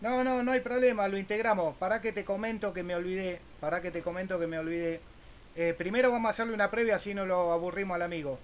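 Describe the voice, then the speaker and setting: High-pitched Argentine man on ham radio. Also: steady tone, fast talker, a little hiss or hum. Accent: Argentine Spanish. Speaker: amateur radio operator, channel host. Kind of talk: casual ham radio exchange with spelled-out call signs.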